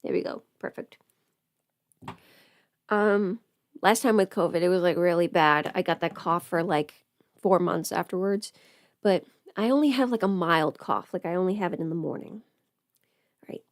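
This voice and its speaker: A woman's voice: on the low side, normal speed, pauses often, no echo, clean studio sound. A woman chatting casually on a livestream while busy with her makeup, rambling and trailing off as if half talking to herself. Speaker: livestream host.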